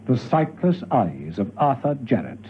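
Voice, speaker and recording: Husky male narrator, thin radio sound. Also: mid-range voice, very animated, unhurried. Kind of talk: scripted radio drama narration. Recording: thin phone-like old radio recording.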